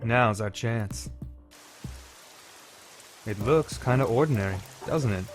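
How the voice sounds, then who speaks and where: A man's voice: mid-range voice, slow pace, over music, natural voice. A man voicing a smug, scheming teenage boy in an anime fan dub, wordy and theatrical. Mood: smug, playful. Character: scheming, smooth teenage boy angling for student council president.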